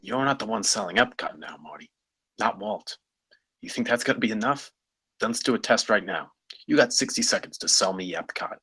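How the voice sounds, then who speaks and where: A man playing a blunt, challenging company executive in a screenplay table read, with a rough voice and a brisk, commanding manner. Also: lowish voice, animated, sharp tone, heavily acted.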